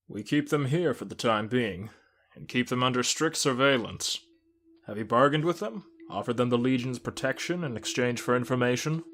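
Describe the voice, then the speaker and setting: A man voicing a calm, strategic commander who speaks in a measured, persuasive way in a fan audio drama. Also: medium pitch, natural voice.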